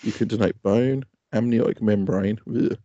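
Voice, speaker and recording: Brit bloke in his 30s, dark creaky voice, blunt podcast banter, dry slightly dull sound. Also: on the low side, normal speed, no room sound. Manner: blunt, sweary, joking. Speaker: podcast co-host. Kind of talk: comedic casual banter.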